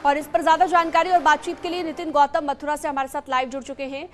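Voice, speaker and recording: A woman's voice: high-pitched, steady tone, quick pace, fairly bright tone, faint music behind. A woman presenting live television news as an anchor, in an emphatic, repetitive manner.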